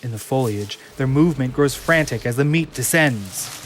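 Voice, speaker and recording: A man's voice: medium pitch, expressive, normal speed, a little hiss or hum. A man narrating a scripted audio drama in a dramatic, descriptive way.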